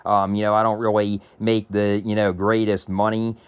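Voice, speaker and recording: A man in his thirties talking casually to his viewers, rambling with many fillers and restarts, his voice a little raspy from allergies. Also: on the low side, level tone, moderate pace, no echo. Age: 30s. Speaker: tech YouTuber. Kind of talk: casual vlog talk to camera.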